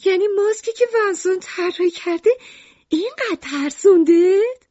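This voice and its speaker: A woman's voice: extremely high pitch, dark tone, no echo, highs cut off. A woman playing an anxious, easily upset wife and mother in an acted audio story, with dramatic, emotional delivery. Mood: surprised.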